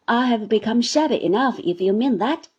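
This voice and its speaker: A woman's voice: fairly high pitch, expressive, moderate pace, a little mellow, breathy edge, highs cut off. A woman doing a surly shabby man. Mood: sullen. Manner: sullen, grudging demands. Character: shabby surly middle-aged man, a beggar.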